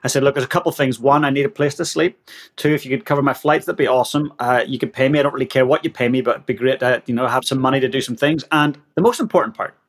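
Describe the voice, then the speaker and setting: A man in his thirties from Northern Ireland with a Northern Irish accent, an interview guest telling energetic stories and laughing often. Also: medium pitch, racing. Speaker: guest, leadership coach. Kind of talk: storytelling in an interview.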